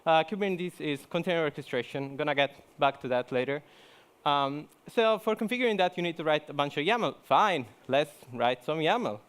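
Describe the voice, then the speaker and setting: A man's voice: on the high side, animated, normal speed, a bit bright, clean tone. A young man from Italy gives a joking, chatty conference talk, full of fillers and restarts. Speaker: conference speaker. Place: conference hall.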